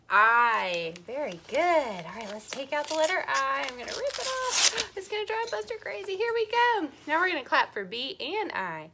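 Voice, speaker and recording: A woman hosting a children's storytime, talking to young viewers in an animated, encouraging way with playful questions. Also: high voice, normal speed, noisy background. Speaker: children's library storytime host.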